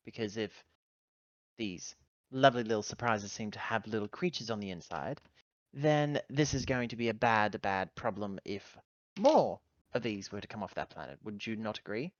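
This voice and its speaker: Commanding female officer acted by a man, tabletop sci-fi game, formal, measured, insistent, dry slightly muffled audio. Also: fairly low pitch, expressive, dry recording, close to an everyday voice. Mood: firm, concerned. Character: polite, firm, commanding woman ship's officer.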